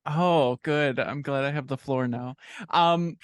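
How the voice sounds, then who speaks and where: A man's voice: medium pitch, slow pace, clear, resonant tone, no room sound, clean studio sound. A man in his thirties, a guest author who talks casually with lots of ums and likes. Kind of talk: casual interview answers.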